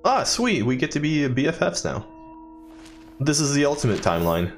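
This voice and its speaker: Casting: young male gaming YouTuber, wry, casual let's play commentary, medium pitch, soft music behind. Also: big pitch swings, fairly quick. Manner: wry, joking.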